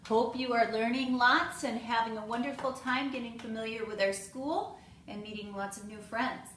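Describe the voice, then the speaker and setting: A woman reading a children's storybook aloud in an expressive way, addressing young students. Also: on the high side, normal speed. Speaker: school staff member reading aloud to students. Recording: roomy echo, clear background noise.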